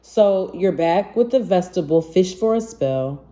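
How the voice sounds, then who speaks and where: A woman reading a rhyming children's storybook aloud in an expressive, measured manner. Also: lowish voice. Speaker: children's book reader.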